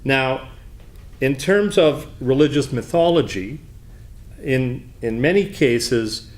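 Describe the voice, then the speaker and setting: A man delivering an off-the-cuff debate speech as opposition leader, formal and deliberate, with halting phrasing. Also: medium pitch, a little mellow. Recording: background noise, live room.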